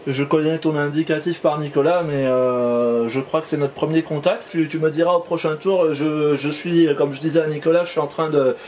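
Higher-pitched man chatting, hesitant delivery. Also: steady tone, normal speed, hardly any pauses, darkish tone, a little hiss or hum. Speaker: amateur radio operator, channel host. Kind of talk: casual radio contact chat.

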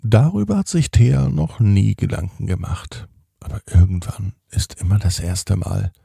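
A man narrates a children's bedtime story with a very husky voice. He takes his time and phrases deliberately, speaking directly to young listeners. The tone is mellow, in a close, dry recording. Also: lowish voice, no echo. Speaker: children's podcast host and storyteller.

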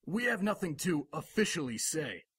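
A blunt, official police lieutenant in a radio drama. His voice is a bit high, forceful and husky, with a firm, dry wit. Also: sing-song, moderate pace, no echo, heavily acted. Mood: official, wary.

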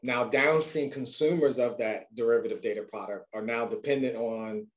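A man's voice: mid-range voice, steady tone, normal speed. A man in his forties talking conversationally and with confidence on a video call panel. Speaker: data leader panelist.

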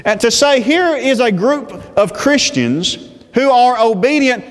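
A man in his thirties preaching a sermon from a church pulpit, speaking in a deliberate pulpit manner. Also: extremely high pitch. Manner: slow, deliberate pulpit delivery. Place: church sanctuary with reverb. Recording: long church reverb.

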